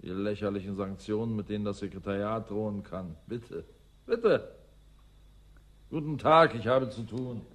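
An actor playing a stubborn, surly researcher in a radio play, brusque and dismissive. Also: male voice, on the low side, moderate pace, fairly bright tone, natural voice. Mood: irritated, defiant. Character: surly, stubborn male climate researcher, defiant loner. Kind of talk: German radio play acting.

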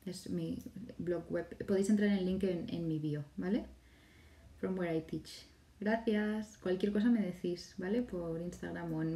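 A woman in her thirties with a Catalan-Spanish accent chats through viewer questions in an easy, talkative way. Her voice sits low and mellow. She is recorded at home on a phone or webcam, a little back from the mic, and the room echoes around her. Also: distant mic. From Catalonia, Spain. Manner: chatty, reads viewer questions aloud and answers them. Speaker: teacher and blogger hosting a live stream. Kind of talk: casual live-stream Q&A. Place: home room with bookshelves, indoors. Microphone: phone or webcam in front of her.

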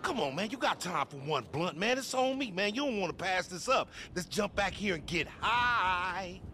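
An actor voicing a brash, boastful street hustler in a video game, with slangy, swaggering delivery. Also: male voice, high-pitched, lively intonation, normal speed, over music. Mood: relaxed persuasion. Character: brash, boastful, foul-mouthed street hustler, adult man. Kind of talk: video game cutscene acting.

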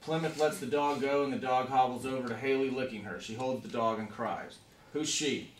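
A man's voice: medium pitch, steady tone, a little fast. A man reading stage directions as scripted narration at a table read. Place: conference room around a long table. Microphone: camera mic across the room. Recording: echoey room, distant mic, faint noise.

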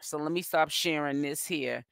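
A woman's voice: sits low, moderate pace, vocal fry, a bit bright, no echo, studio quality. A middle-aged woman from Boston with a Boston accent, hosting a casual live commentary and talking emphatically to her viewers. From Boston, Massachusetts. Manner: chatty, emphatic, calls viewers honey. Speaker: commentary channel host.

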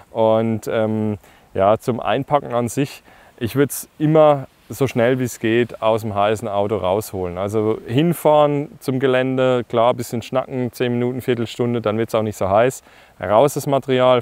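A man's voice: mid-range voice, no echo. Chatty German man outdoors, dry sound. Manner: relaxed, chatty, frequent fillers, addresses viewers directly. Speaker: paragliding instructor. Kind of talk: casual tips to camera. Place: grassy meadow outdoors, hills behind. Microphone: lapel mic.

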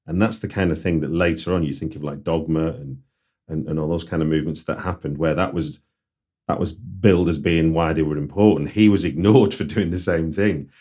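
A man from Manchester with a Mancunian accent, hosting a podcast interview in a relaxed conversational way. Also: rumbling low, fairly quick, husky. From Manchester, England. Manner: conversational, frequent backchannel murmurs. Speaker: podcast host. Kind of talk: casual interview chat.

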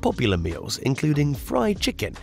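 A man narrating a scripted restaurant countdown in an even, promotional read with odd stresses. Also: a little high, normal speed, creaky. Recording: voice-over with faint music.

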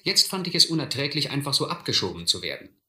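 An actor as a reflective first-person narrator recalling a boyhood adventure in a German radio play. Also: male voice, mid-range voice, very animated, fast talker, bright, forceful tone, roomy sound.

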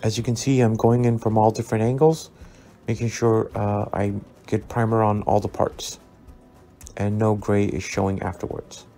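A man narrating a scale model build as a relaxed tutorial, easygoing and full of ums and uhs as he recommends each step. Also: lowish voice, moderate pace. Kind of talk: relaxed instructional voice-over. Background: soft background music.

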